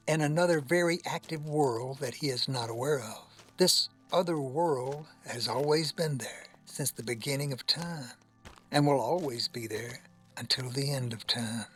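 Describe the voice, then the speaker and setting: A man giving scripted narration for an audio drama in a measured, deliberate storytelling manner. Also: medium pitch, pushed and bright, husky.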